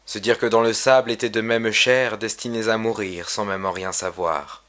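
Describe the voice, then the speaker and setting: Guy reading his poem out loud, kinda low voice, serious and measured. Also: steady tone. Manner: solemn, measured, literary delivery. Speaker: poet reading own poem. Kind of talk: poetry recitation.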